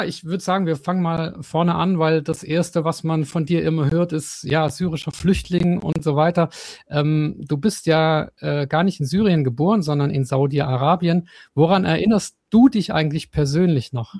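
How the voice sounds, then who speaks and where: A man's voice: a little high, darkish tone, no echo, studio quality. A man hosting a podcast interview, asking long questions with many fillers and restarts. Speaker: podcast host. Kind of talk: casual interview.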